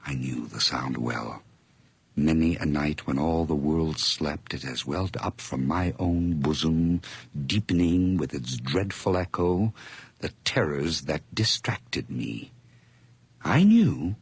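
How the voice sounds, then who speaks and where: An actor playing a feverish male murderer who narrates his crime in a 1980s radio drama, whispering low, then breaking into high, gleeful outbursts. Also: breathy edge, no room sound, exaggerated voice. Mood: hushed.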